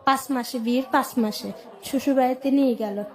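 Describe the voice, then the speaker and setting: A woman's voice: high-pitched, fairly even intonation, brisk. A woman with a Bangladeshi accent telling her personal life story as a radio guest, in plain colloquial short phrases.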